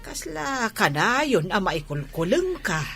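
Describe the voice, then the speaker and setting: A woman playing an older Ilocano village mother who frets about her married child in a radio drama, with a husky voice. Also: mid-range voice, big pitch swings, measured pace, fairly bright tone, faint music behind. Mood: concerned, reflective.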